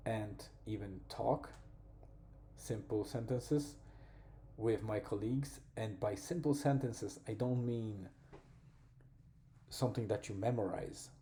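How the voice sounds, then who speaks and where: Man with a Polish accent, vlogger, unhurried, very dark mellow tone, thoughtful pauses. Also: lowish voice, slight background noise. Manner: slow, thoughtful, pausing to find words. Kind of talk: reflective personal vlog.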